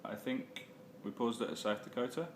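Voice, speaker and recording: A man's voice: medium pitch, moderate pace, slight background noise. A man casually recapping his travels for a vlog, full of ums and uhs and self-corrections. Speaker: travel vlogger. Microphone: phone or handheld camera.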